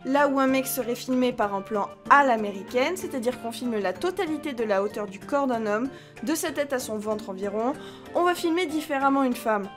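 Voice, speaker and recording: A woman with a somewhat high voice speaks over light background music. She delivers scripted explanatory commentary as a debate panelist, adding a dry, sarcastic edge. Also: lively intonation, a little fast. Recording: music behind the voice.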